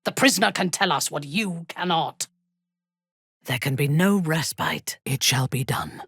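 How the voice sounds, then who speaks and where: An actress playing a haughty, ruthless female drow elf in a fantasy video game, speaking in a low, husky, measured and coldly menacing voice. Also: a bit bright, dry recording, studio quality, full character voice. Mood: solemn intensity. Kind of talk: scripted fantasy game voice acting.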